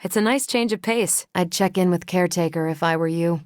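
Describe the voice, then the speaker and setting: A woman's voice: on the low side, a little fast, a little mellow, no room sound, studio quality, close to an everyday voice. An American woman voicing a confident, wry military superheroine in a video game, with a casual, dryly humorous manner. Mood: earnest, assured. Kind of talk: video game character dialogue.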